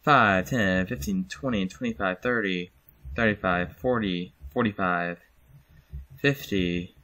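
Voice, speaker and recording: Rambling young man, bright voice. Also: sits low, slow, poor audio. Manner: rambling, self-correcting, chuckles. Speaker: channel host. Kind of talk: casual screen-recorded commentary.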